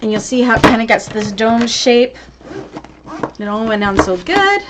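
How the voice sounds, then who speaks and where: A woman's voice: on the high side, big pitch swings, normal speed, background noise. A woman teaching a live online sewing class, chatty and encouraging as she answers viewer comments. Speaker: sewing instructor.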